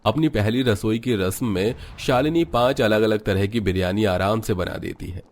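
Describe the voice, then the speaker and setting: A man's voice: fairly low pitch, moderate pace, darkish tone. A man narrating a Hindi cartoon story in a scripted storytelling style.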